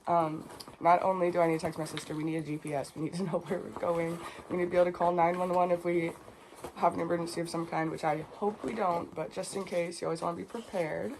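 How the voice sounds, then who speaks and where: A woman talks in a fairly low voice, slightly raspy and stuffed up with a cold. She rambles casually and frankly, as if chatting to viewers in a homemade vlog. Faint noise and small clicks sit behind her. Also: flat delivery, fast, a little mellow, poor audio. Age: 20s. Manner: chatty, unfiltered, rambling asides. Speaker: vlogger. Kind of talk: casual packing vlog to camera.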